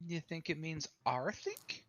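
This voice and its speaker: A player in a tabletop game, talking slowly and hesitantly with playful jokes. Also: medium pitch, wildly swooping pitch, no room sound, highs cut off, faint music behind. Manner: slow, joking, hesitant. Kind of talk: casual tabletop game chat.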